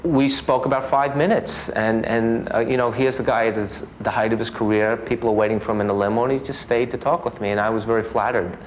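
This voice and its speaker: A man's voice: on the low side, slight background noise, low-quality recording. A man from the United States, a martial artist, telling an eager personal anecdote in an interview. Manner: excited storytelling, hesitant fillers. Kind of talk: conversational anecdote.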